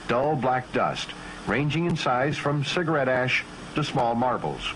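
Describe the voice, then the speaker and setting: An American man narrating a military training film in a formal, scripted style, measured, precise and matter-of-fact. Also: medium pitch, fairly bright tone, a little hiss or hum, poor audio.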